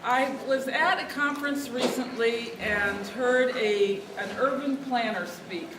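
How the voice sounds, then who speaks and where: A woman with a quiet voice giving a speech without a microphone, speaking earnestly to lift her voice over the room. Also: fairly high pitch, unhurried, background noise. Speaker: speaker at a lobby day. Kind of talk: outdoor speech without a mic. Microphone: no mic, picked up from a distance. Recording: distant echoey recording with loud ambience.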